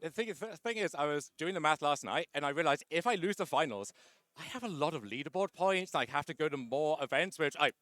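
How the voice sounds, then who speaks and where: A man's voice: on the high side, animated, brisk, a bit bright, no room sound. A young man being interviewed after winning a tournament, chatty and self-deprecating, often restarting his sentences. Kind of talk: casual post-match interview.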